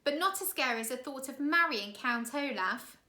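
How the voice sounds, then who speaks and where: A woman's voice: high voice, expressive, moderate pace, pushed and bright. A woman voicing a worried, resourceful orphan girl in a storybook, earnest, in a roomy space. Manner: earnest, downhearted. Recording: roomy, echoey room sound.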